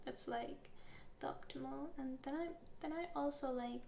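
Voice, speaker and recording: A young woman, a native Finnish speaker, gently interviewing in a soft-spoken ASMR roleplay, unhurried with soft giggles. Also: high-pitched, creaky, echoey room, slight background noise. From Finland.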